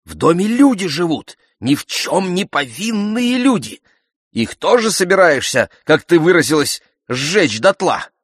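A stern, protective mother in an audiobook, performed by a man. The voice is raised in pitch, emphatic and scolding, with curt indignation. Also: very animated, a little slow, fairly bright tone, no room sound, studio quality. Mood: indignant. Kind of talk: audiobook character voice.

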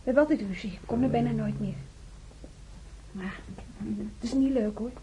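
An actress playing a young nurse in a radio drama, self-reproaching and candid, talking in a hesitant, confiding, colloquial way. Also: female voice, a little high, moderate pace, noisy background, close to an everyday voice. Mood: downcast, confiding. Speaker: radio play actor. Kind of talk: naturalistic radio drama dialogue.

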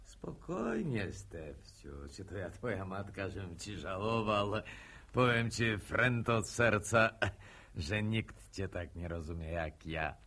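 A man's voice: fairly low pitch, lively intonation, takes it slowly, bright, forceful tone, heavily acted. An actor playing a smirking, needling village man in a Polish radio drama, mock-gallant and mocking in manner. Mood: sly teasing. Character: smirking, needling village man, ex-corporal, teasing a courting couple.

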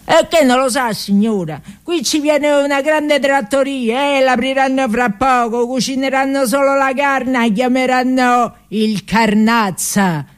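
A woman acts out a simple workman in a radio tale, with a raised pitch. He is chatty and colloquial, explaining eagerly. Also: measured pace, few pauses. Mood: eager, informative. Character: chatty male builder, simple, eager to share news.

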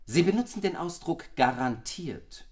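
An actor playing an insistent, probing questioner in a German radio play. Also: male voice, fairly high pitch, lively intonation, unhurried. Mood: pointed, probing. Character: insistent male court questioner, probing and pointed.

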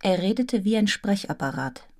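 An actress playing an earnest young village schoolmistress who tells her story in a measured, articulate radio drama narration. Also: medium pitch, expressive, a little mellow, close to an everyday voice. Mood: reflective. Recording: music behind the voice.

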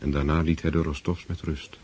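A man's voice: rumbling low, little pitch movement, fairly quick, a little mellow. A man narrating a radio drama from a script.

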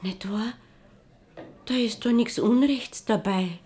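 An actress playing a kind, simple old washroom attendant, speaking in a breathy Viennese dialect in a radio play. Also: female voice, on the high side, slow pace, mellow. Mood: flustered. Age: older.

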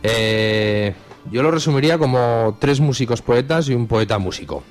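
A man's voice: medium pitch, animated, moderate pace. A man with a Spanish accent from Spain co-hosting a radio show, announcing gigs in a casual, colloquial way. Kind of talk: informal radio concert listings. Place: radio studio. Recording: music playing behind.

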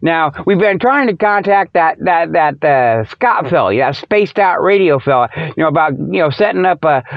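Male comedy podcaster, colloquial and digressive mock pitchman, somewhat high voice, dry close sound. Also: creaky, dry recording. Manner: folksy, colloquial speech. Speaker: podcast host. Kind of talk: rambling comedic monologue. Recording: dull, lo-fi radio-style audio.

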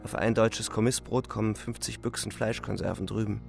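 An actor playing a young, weary front-line soldier who narrates a radio play in a sober, restrained, matter-of-fact way. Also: male voice, lowish voice, fairly even intonation, brisk, gravelly. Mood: bleak, matter-of-fact. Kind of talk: scripted radio drama narration. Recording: music faintly behind the voice. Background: music.

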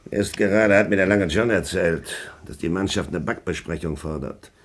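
An actor playing a stern, menacing pirate captain in a radio play, commanding and threatening with a husky voice. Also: male voice, low voice, moderate pace. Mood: angry, menacing.

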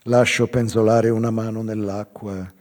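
An older man giving a slow, measured, theatrical reading of a literary text, with a husky, dark voice. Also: on the low side, flat delivery. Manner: slow, measured, theatrical delivery with swelling and hushed phrases. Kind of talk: dramatic literary narration with live music. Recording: long hall reverb, faint music. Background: live music.

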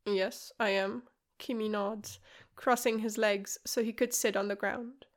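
A woman reading a story aloud for a podfic in a soft, even storytelling manner. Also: medium pitch, a little slow. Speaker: podfic reader.

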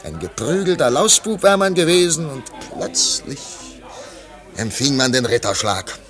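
Washed-up wistful artist in a radio play, theatrical, halting, voice going up and down a lot. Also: male voice, mid-range voice, sing-song, fairly bright tone, faint music behind. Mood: nostalgic, reverent. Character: disillusioned, wistful male artist musing over wine. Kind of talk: German radio play acting.

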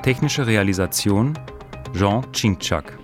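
A man reading radio drama credits as announcer, formal and measured. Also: lowish voice, lively intonation. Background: loud music.

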